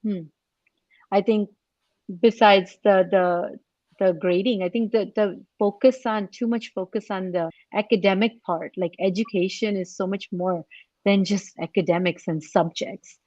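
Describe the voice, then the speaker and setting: A woman's voice: medium pitch, steady tone, unhurried, darkish tone, no echo. A woman speaking unscripted on a podcast panel, thinking aloud a little tentatively. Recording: slightly dull, dry call audio.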